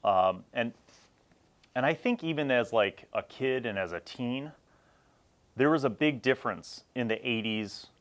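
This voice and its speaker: A man in his forties with a fairly low voice hosts a relaxed, unscripted review for viewers. He speaks conversationally and thinks as he goes, breaking his thoughts into short phrases with pauses. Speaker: host of a vintage tabletop gaming review series.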